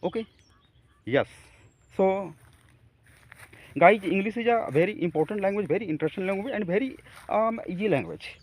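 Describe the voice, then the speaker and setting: A man from India with an Indian accent, a Hindi speaker, talking haltingly to camera about practicing English, with many fillers and restarts. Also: sits high, animated. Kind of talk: casual talk to camera.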